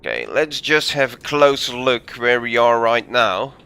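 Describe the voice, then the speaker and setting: Slow-talking man streaming a game. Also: mid-range voice, animated, creaky, sharp tone, clean tone. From Belgium. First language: Dutch. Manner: thinking aloud, easygoing, frequent ums and uhs. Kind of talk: live gameplay commentary.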